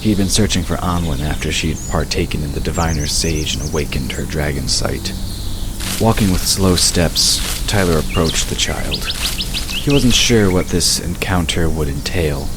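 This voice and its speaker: A man's voice: extremely low pitch, normal speed. A man giving a scripted narration of a fantasy audiobook. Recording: noisy, birdsong and crickets behind. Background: birdsong, crickets, outdoor ambience.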